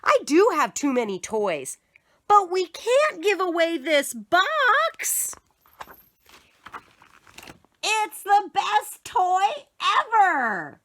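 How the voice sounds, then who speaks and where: A woman's voice: very high-pitched, huge pitch swings, slow pace, pushed and bright, no room sound, pitched above the performer's own voice, heavily acted. A woman voicing a theatrical young boy who pleads and bargains to keep his toys, reading a storybook aloud. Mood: cheerful.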